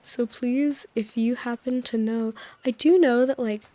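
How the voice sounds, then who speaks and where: Soft-spoken woman sleep-story host, mellow and a touch high, slightly hoarse, meandering and soothing. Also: fairly even intonation, unhurried, no room sound. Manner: gentle, soothing, meandering with small laughs. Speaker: sleep-aid video host. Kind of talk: soft, rambling sleep-aid talk over gameplay. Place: voice-over recorded apart from the game footage.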